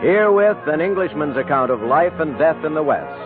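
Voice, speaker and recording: An American man announcing a scripted radio drama in formal, dramatic, deliberate 1950s style. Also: a little high, expressive. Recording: narrow-band old radio broadcast. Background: music.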